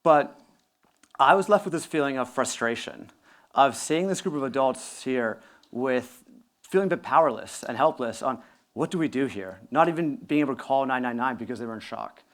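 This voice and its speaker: A man from northern Italy speaks on a conference stage through a stage mic. He tells his story fluently and earnestly, in a steady mid-pitched voice. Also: moderate pace. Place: conference stage before an audience.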